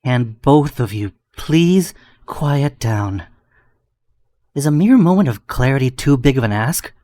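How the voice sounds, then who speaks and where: A man's voice: mid-range voice, animated, measured pace, mellow, no room sound, natural voice. A man voicing a tormented young villain, exasperated and furious at the voices in his head, in a strained manner. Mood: furious, anguished.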